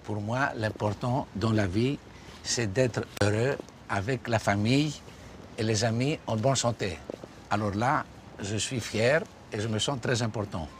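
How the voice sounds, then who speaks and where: A man, answering interview questions in a relaxed, expansive way, with small slips. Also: lowish voice, measured pace, a little hiss or hum. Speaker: interviewee, businessman.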